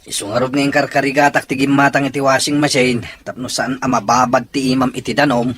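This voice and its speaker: A man's voice: medium pitch, flat delivery, fast, fairly bright tone, husky. An actor playing a firm husband who reasons with his wife in a radio drama.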